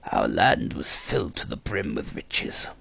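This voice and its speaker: Zaxl, a gravelly, embittered ancient survivor. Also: male voice, deep voice, huge pitch swings, fairly bright tone, no echo, voice pushed down, full character voice. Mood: grim, pained. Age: very old. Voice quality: raspy, strained, breathy. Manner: slow, grave, labored. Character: Zaxl, embittered old survivor of a slaughtered fantasy people.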